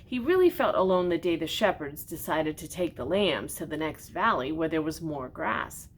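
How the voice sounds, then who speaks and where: An older woman reading a children's storybook aloud expressively, pausing for gentle, simply worded explanations. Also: sing-song. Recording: noticeable background noise with low rumble.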